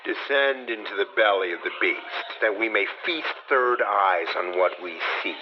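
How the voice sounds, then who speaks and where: A man as a low-voiced cryptic bard. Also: expressive, moderate pace, lightly acted. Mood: portentous. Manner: incantatory, rhyming, declamatory. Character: cryptic, mocking bardic narrator of a myth. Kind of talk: audio drama verse narration. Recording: dull, noisy, with ambience behind.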